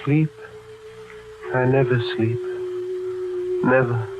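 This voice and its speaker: An actor as haunted, tormented man, slow and brooding. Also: mid-range voice, steady tone, phone-quality sound, lightly acted. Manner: brooding, fearful, halting, theatrical. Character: haunted, tormented refugee man who remembers past lives. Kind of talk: old-time radio drama acting. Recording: noisy old radio drama recording.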